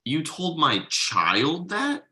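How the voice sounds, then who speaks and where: A man's voice: a little high, huge pitch swings, very slow, sharp tone, full character voice. A man playing a testy, sarcastic father in a sitcom table read, dry and needling. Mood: indignant, sarcastic. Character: testy, sarcastic husband and father of a small girl.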